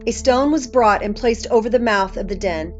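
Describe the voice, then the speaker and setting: A woman's voice: fairly high pitch, moderate pace. A woman reading a Bible story aloud as a scripted narrator, on slightly muffled audio. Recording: muffled audio with faint music.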